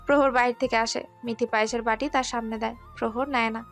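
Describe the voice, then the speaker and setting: Fairly high-voiced woman story narrator. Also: steady tone, fast, faint music behind. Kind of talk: scripted romance story narration.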